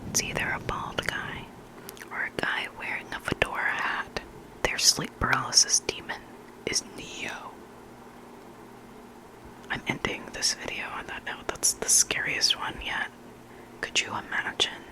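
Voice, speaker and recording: A hushed, breathy whisper reading stories slowly and deliberately in ASMR style, close to the microphone. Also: rough recording. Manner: slow, deliberate, hushed, with repeated trigger words. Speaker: host reading listener horror stories.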